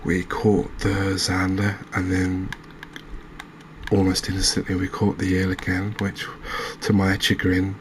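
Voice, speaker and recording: A man giving soft-spoken, unhurried ASMR commentary as he plays a game, musing aloud to his viewers. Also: deep voice, monotone, a little hiss or hum. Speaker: game streamer, host.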